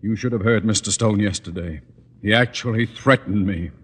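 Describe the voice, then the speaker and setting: An actor playing a pompous, exasperated middle-aged school principal in an old radio sitcom, with a sputtering delivery. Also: male voice, on the low side, normal speed, fairly bright tone, breathy edge. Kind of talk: 1950s radio sitcom acting. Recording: vintage radio recording, noisy, reverberant.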